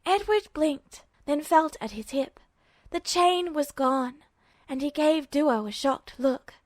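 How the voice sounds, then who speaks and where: High-voiced woman reading a story. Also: lively intonation, takes it slowly, no room sound. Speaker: podfic narrator. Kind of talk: scripted story narration.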